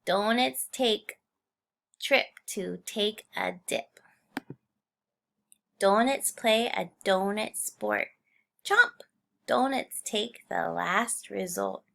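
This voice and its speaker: A woman reads a children's story aloud like a teacher to her class. Her voice has a strong creak and sits at a middling pitch. She speaks expressively, in an unhurried read-aloud style. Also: a bit bright. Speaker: teacher reading a picture book aloud to children.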